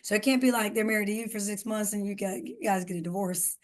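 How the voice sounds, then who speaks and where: A woman's voice: mid-range voice, quick pace. A woman co-hosting a podcast, talking earnestly and giving emphatic advice in a casual conversation. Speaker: podcast co-host.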